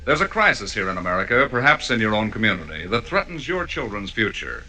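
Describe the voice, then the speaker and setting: A man's voice: lowish voice, very animated, quick pace, over music. A man announcing on 1940s radio, reading ad copy in a polished, emphatic way on a vintage broadcast recording.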